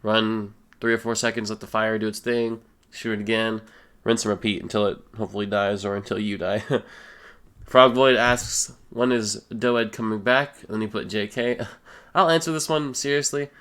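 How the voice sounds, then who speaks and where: Young male gaming creator, a bit low-pitched, nasal from a cold, laid-back chatty delivery. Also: normal speed, smooth. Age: young adult. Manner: relaxed, rambling, many fillers. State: stuffy nose, a little sick. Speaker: gaming YouTuber. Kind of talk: casual Q and A voice-over.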